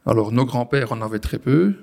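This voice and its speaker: A man's voice: mid-range voice, fairly quick, creaky, a little mellow. A man in his forties from the Belgian Ardennes, a farmer explaining his work in an interview with frequent restarts and fillers. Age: 40s. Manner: hesitant, many restarts and fillers. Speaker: farmer guest. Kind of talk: conversational interview. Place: on a farm.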